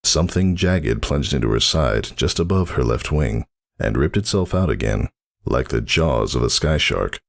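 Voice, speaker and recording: A man's voice: a bass voice, lively intonation, moderate pace, creaky. A man narrating a story as a dramatic reading, solemn and theatrical. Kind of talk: dramatic scripted fan fiction narration.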